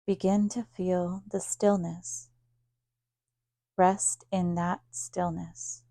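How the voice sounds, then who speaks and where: A woman leading a guided yoga nidra meditation as a teacher, speaking in a calm, soothing, unhurried manner with long pauses. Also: on the low side, gravelly, no echo, studio quality.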